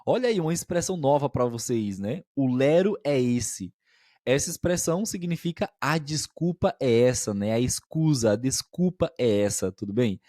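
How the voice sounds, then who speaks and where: A man's voice: medium pitch, animated, moderate pace, dark tone, dry recording, studio quality. A man co-hosting a podcast as a language teacher, explaining expressions patiently and often checking that listeners follow.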